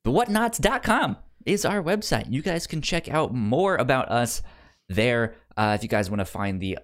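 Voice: mid-range voice, animated, moderate pace. A podcast co-host chatting casually about a film, stammering and often repeating syllables and words.

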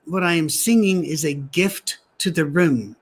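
A man, a pastor, preaching a sermon in a soft, gentle voice, conversational with dry humorous asides, at a church gathering held on a soundstage. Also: fairly high pitch, a little slow, breathy edge.

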